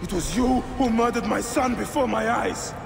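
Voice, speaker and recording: An actor playing a grieving, vengeful Egyptian warrior in a video game, solemn and deliberate, with music behind him. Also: male voice, sits high, gravelly. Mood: enraged. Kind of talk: dramatic video game acting.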